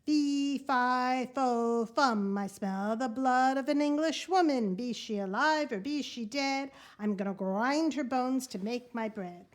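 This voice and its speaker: A woman reading aloud plays a huge, greedy giant who bellows his lines and roars with laughter. The voice sits a little high but booms with force. Storybook reading. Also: slow pace, few pauses. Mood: booming. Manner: loud booming chant, roaring laughter.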